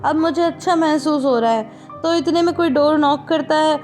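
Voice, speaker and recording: Woman, recap host, bright high voice, chatty and direct with viewers, music bed underneath. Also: normal speed. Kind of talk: conversational plot recap. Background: background music.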